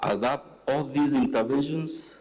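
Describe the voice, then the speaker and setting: A Kenyan man acting as counsel, asking deliberate, leading questions at a formal hearing. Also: medium pitch, crowd noise, poor audio. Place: legislative chamber hearing.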